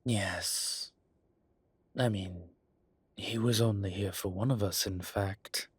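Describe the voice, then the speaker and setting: A man playing a weary, wry vampire elder in an audio roleplay, speaking in a slow, sardonic drawl with formal, old-fashioned wording. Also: on the low side, fairly even intonation, slightly rough, no room sound, exaggerated voice. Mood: wry, cordial. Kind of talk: dramatic audio roleplay acting.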